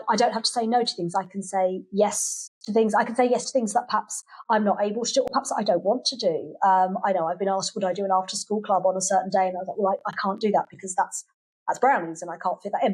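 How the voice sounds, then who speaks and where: Chatty British woman, halting and emphatic. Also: medium pitch, low-quality recording. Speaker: podcast guest, children's language class teacher. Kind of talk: conversational interview answers.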